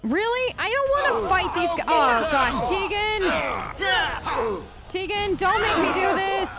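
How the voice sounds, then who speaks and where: A woman's voice: very high-pitched, big pitch swings, a little slow, shouting, over a noisy crowd. A woman hosting a let's play, chatty and improvising in-character replies as she reacts aloud to the game.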